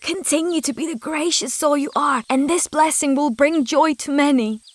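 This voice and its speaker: A woman voicing a kind fairy in the guise of an old woman, speaking in formal, measured pronouncements. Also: high-pitched, no room sound, natural voice. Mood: gracious. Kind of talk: fairy tale character acting.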